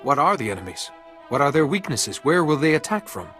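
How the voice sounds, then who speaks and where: An actor playing a calm, patient male Jedi who speaks in a measured, polite way in a video game. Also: on the high side, long reverb tail, over music.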